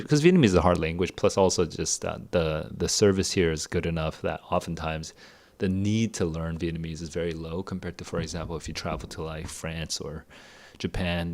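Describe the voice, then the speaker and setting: A man in his thirties, a tutor, answering viewer questions in a candid, rambling livestream chat. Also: low-pitched. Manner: candid, rambling, thinks aloud. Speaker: tutor and educator. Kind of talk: casual livestream Q&A.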